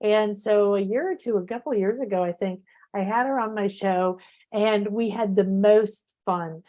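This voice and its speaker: A middle-aged woman hosting a casual chat show, telling stories in a lively way and laughing often. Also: mid-range voice, normal speed, muffled recording. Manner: animated storyteller, laughs often. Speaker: host.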